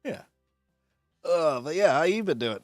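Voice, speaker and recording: A man's voice: a little high, lively intonation, unhurried, no echo, studio quality, soft background music. A man co-hosting a casual podcast chat, bubbly and rambling, laughing often, with a sore throat. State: sore throat from a strained vocal cord. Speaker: co-host.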